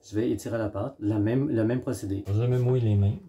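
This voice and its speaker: A middle-aged man giving a step-by-step cooking demonstration in a home kitchen, explaining in short, halting instructional phrases. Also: on the low side, steady tone, mellow, dull audio, a little hiss or hum. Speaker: home baker presenting a recipe.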